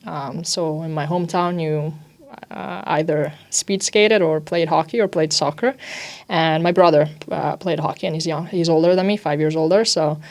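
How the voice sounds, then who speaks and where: A young woman who grew up in Italy and speaks Italian natively gives relaxed, informal interview answers. Her voice sits a little low for a woman, and she peppers her talk with "like" and "you know" as she describes life as an athlete. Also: moderate pace. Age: young adult. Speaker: ice hockey defender.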